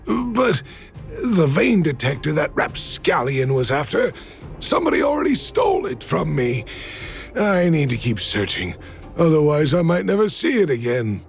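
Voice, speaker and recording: An actor voicing a weary, gentle old miner and father in a video game, hesitant and sighing, with a gravelly, husky voice. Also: on the high side, very animated, normal speed, faint music behind. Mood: worried, resigned. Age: older.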